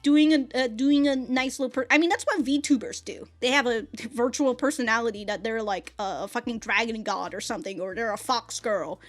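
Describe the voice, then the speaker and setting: Woman, 30s, reaction host: slightly high-pitched, blunt and sweary, lots of laughs and stammered restarts, soft music bed. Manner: blunt and profane, laughing often; halting, with many restarts and stammers. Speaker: anime reaction reviewer. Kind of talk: casual reaction commentary.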